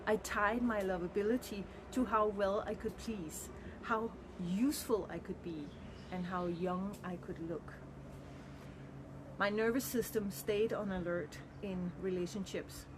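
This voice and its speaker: A woman's voice: mid-range voice, fairly even intonation, unhurried, background noise. Earnest middle-aged woman reflecting. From Denmark. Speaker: vlogger. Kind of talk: personal reflective monologue.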